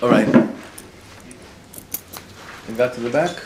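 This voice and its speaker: A man's voice: mid-range voice, takes it slowly, noisy background. A man, a rabbi, teaching a live class to an audience in the room, mixing Hebrew and Yiddish words into his talk and putting questions to his listeners. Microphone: recorded for web students.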